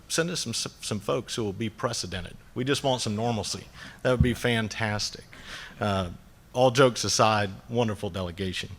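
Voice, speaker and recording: Man, Southern accent, low-pitched, plain-spoken, conference speaker, lots of fillers. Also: a bit bright. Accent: Southern US, North Georgia. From North Georgia, USA. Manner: plain-spoken, frequent "uh" fillers, light jokes. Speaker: agricultural lobbyist. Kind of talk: conference speech. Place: meeting hall.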